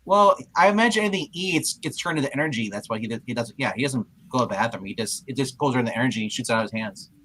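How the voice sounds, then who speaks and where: A man's voice: mid-range voice, expressive, very fast, hardly any pauses, bright, forceful tone, slight background noise, low-quality recording. A man in casual chat as a panelist, asking questions and tossing in jokes. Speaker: panelist on a comic-book show.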